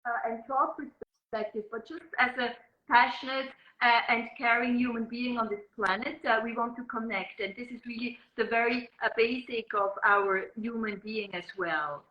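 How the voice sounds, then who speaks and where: A woman's voice: a little high, steady tone, moderate pace. Swiss woman, echoey dull audio. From Switzerland. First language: Swiss German. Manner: thoughtful, with frequent ums and uhs. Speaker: journalist and guest. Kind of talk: interview conversation.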